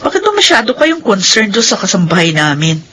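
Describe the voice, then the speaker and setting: Radio drama: nagging, jealous Filipino wife; bright, animated, sharp-tongued. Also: female voice, mid-range voice, brisk, slight background noise. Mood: irritated. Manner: nagging, confrontational.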